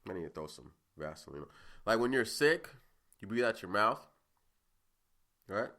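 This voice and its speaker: Deep-voiced congested young man. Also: big pitch swings, moderate pace, pushed and bright. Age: 20s. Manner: loose, rambling and chatty, with frequent asides. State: congested, sniffling. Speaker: podcast host. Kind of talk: casual solo podcast chat.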